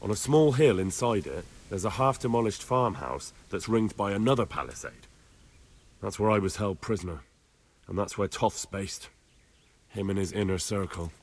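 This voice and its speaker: A man's voice: lowish voice, expressive, moderate pace, slightly rough. A man playing a dutiful, grim young medieval soldier in a video game cutscene. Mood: factual, reporting.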